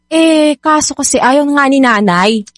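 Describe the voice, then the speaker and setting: A dutiful young Filipina daughter in a radio drama. Her voice is fairly high, hesitant and deferential, a little worn down. The recording is dry and close. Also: unhurried, dry recording. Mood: unsure. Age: young adult. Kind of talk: radio drama acting in Tagalog.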